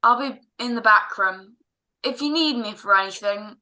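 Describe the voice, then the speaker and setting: Woman playing an earnest son, very bright voice. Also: medium pitch, normal speed, poor audio. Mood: helpful, hushed. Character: earnest, restless, dutiful son caring for mother. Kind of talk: classic radio drama acting.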